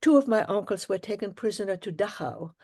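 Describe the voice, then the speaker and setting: An older woman from Germany speaking as an interview guest. Her voice is mellow and warm, and she recalls her memories slowly and with care, pausing now and then. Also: medium pitch, animated. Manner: reflective, measured, with pauses. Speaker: guest, Holocaust survivor. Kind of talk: interview storytelling.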